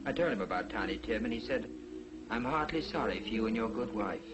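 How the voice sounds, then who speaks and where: Man playing gentle poor clerk, cheerful family man, swooping expressive intonation, noisy 1940s TV soundtrack. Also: on the low side, fast talker, lightly acted. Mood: earnest, subdued. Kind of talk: 1940s television drama acting.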